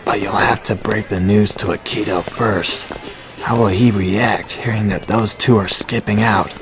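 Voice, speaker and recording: A man playing a laid-back, sardonic teenage boy with a deadpan manner in a radio drama. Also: lowish voice, over music. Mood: cool, dry. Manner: deadpan, slow. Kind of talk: amateur anime-style radio drama acting.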